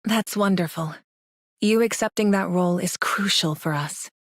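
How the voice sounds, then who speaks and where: A woman playing a composed, dutiful commander in an anime game, speaking in a formal, earnest, measured way. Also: on the low side, no echo, studio quality, natural voice. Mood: serious. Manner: formal, serious.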